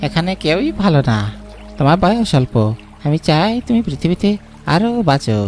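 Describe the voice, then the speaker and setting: A man's voice: fairly high pitch, lively intonation, normal speed, a little mellow, soft background music, lightly acted. A man playing a mysterious village boatman who gives grim, cryptic warnings in a cartoon ghost story. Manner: ominous, cryptic.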